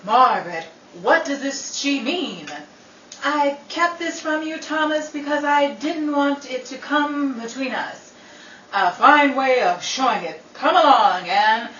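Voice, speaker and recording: Woman acting a theatrical high-voiced worldly friend, distant and roomy. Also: expressive, slow, a bit bright, heavily acted. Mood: wry, melodramatic. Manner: theatrical, wry, commanding. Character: worldly, melodramatic, much-married woman friend, bossy but loyal. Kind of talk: 1930s-style radio drama acting. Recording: dull, roomy, distant, with faint noise.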